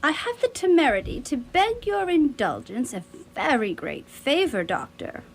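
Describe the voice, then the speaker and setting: A woman's voice: high voice, huge pitch swings, normal speed, heavily acted. A woman playing a furtive, obsequious mad asylum patient in a radio drama, speaking in florid phrases that can drop to a whisper. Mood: obsequious, eager.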